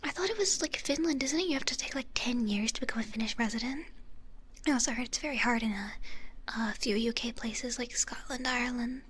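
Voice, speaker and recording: A woman's voice: fairly high pitch, a little fast, a little hiss or hum. Whispering young woman streamer. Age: young adult. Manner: soft breathy whisper with kiss sounds. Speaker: VTuber streamer. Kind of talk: whispered ASMR livestream, greeting chat.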